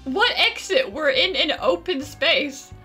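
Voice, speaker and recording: A sarcastic woman doing playful gameplay commentary. Her voice is high and bright, and she takes her time, with music in the background. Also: big pitch swings, slow pace. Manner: sarcastic, joking. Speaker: gaming channel host. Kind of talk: playful gameplay commentary, improvised character dialogue.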